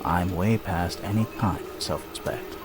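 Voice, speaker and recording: A man's voice: low-pitched, unhurried, music in the background. An actor playing a self-loathing, sardonic, wisecracking man in a video game cutscene. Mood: bitter, ashamed.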